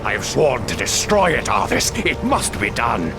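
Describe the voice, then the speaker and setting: An actor playing a proud, vengeful demon hunter villain in a fantasy game, dramatic and menacing, with a gravelly voice. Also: male voice, mid-range voice, wildly swooping pitch, normal speed, music in the background. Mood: grave defiance. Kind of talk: fantasy video game acting.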